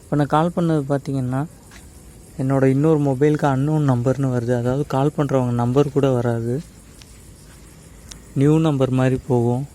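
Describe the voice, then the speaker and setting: A man's voice: medium pitch, fairly even intonation, normal speed, pauses often, dark tone. A man gives a casual step-by-step tutorial, addressing viewers directly and mixing in English words. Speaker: tech tutorial host.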